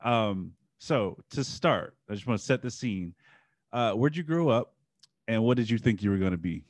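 Male host, low-ish pitch, steady friendly questions, dry mic. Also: no room sound. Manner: measured, friendly, hesitant with fillers. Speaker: interview host. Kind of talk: interview questions.

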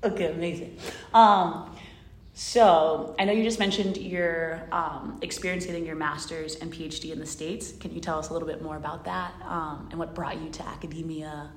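A woman's voice: low voice, steady tone, normal speed, echoey room. A woman hosting an interview podcast, mixing a scripted intro with casual chat full of "um" and "like". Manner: warm laughing interjections, lots of "um" and "like".

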